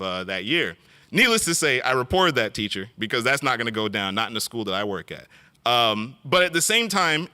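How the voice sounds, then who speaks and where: A middle-aged man giving an earnest storytelling speech at an awards event, often pausing on fillers and restarting phrases. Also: medium pitch, sharp tone, clear, resonant tone.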